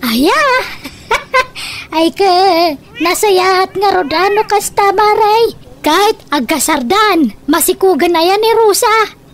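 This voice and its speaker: Woman playing a squeaky-high chatty neighbour. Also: lively intonation, slow, full character voice. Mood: friendly, curious. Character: helpful, chatty female village neighbour and friend. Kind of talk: comedy radio drama acting.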